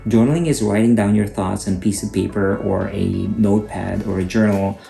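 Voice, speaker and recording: A middle-aged Filipino man with a Filipino accent, hosting a channel and calmly explaining advice to camera. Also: fairly low pitch, fairly quick, creaky. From Philippines. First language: Cebuano. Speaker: YouTube host, HR coach. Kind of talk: scripted advice talk to camera. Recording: echoey room with faint guitar music.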